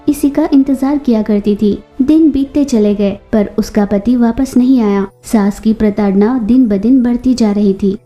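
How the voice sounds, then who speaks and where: A woman's voice: a little high, dark tone, muffled recording. A woman narrating a scripted children's story as the storyteller. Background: music.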